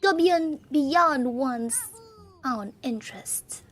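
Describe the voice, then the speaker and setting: A woman's voice: high voice, animated, drawn-out words, exaggerated voice. Gloria, a woman doing a stern older sister. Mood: reproachful. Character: stern, reproachful older stepsister, duty-bound. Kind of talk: reading visual novel lines aloud.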